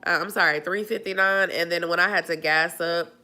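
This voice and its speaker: A woman's voice: fairly low pitch, fairly even intonation, fairly quick, sharp tone. Stuffy-nosed woman chatting casually. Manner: chatty, rambling with many fillers. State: stuffy, nasal from a cold. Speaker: vlogger answering live chat. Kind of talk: casual live-stream chat.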